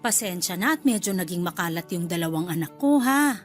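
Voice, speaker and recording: A woman's voice: fairly low pitch, expressive, brisk, faint music behind, lightly acted. An actress with a Filipino accent playing a gracious, chatty middle-aged mother-in-law in a radio drama. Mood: gracious, cheerful. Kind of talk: Tagalog radio drama acting.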